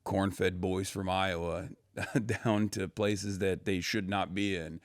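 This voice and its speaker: Man from Turkey, low voice, dry close sound, fast and opinionated, laughs a lot. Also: steady tone, dry recording. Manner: rapid, profane, opinionated, laughs often. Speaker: guest. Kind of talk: casual conversation.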